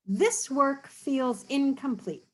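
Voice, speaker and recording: A woman's voice: high-pitched, slow, voice pushed up. Woman doing a stern judge of a mother.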